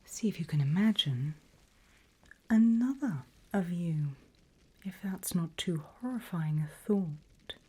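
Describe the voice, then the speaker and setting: Breathy whispering demon guide played by a woman. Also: on the low side, lively intonation, a little mellow, heavily acted. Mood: taunting, menacing. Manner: slow, drawling, teasing, mock-sweet. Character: seasoned female demon guide, teasing, sinister, silkily condescending. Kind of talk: ASMR roleplay.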